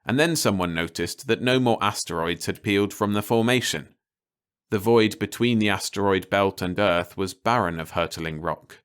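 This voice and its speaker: A man reading a story aloud as an audiobook narrator, in a measured, wry storytelling manner. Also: fairly low pitch, a bit bright, clean tone. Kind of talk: scripted story narration, read-along audiobook.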